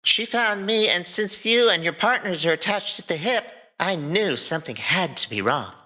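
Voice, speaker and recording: A woman's voice: on the low side, expressive, moderate pace, bright, forceful tone, low-quality recording. A woman voicing a cocky, teasing teenage boy with smug, good-natured sarcasm in a fan fiction reading. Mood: casual.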